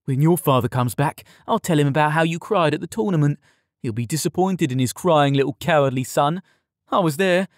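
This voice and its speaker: A man's voice: on the high side, big pitch swings, moderate pace, hardly any pauses, a little mellow, breathy edge, dry recording, studio quality, voice pushed up. A man voicing a mocking, insolent stable boy in an audiobook, taunting and bullying. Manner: taunting, sneering.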